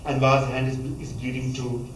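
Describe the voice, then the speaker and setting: A man, reading a memoir passage aloud at a measured pace, now and then stumbling and restarting a word. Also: mid-range voice, monotone, a little hiss or hum. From Pakistan. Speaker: author reading from his book. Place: college lecture hall. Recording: echoey hall, voice from a distance, faint ambience.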